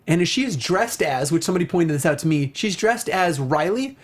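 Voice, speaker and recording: A man with a fairly high voice hosts a casual talk show. He speaks conversationally, with excitable emphasis on his points. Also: brisk, breathy edge. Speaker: show host. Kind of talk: casual film and game discussion.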